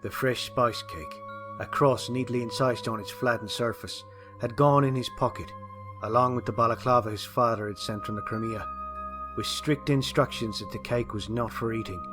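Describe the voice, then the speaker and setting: A man's voice: medium pitch, moderate pace, over music. A man reading a scripted historical ghost story as a dramatic narrator.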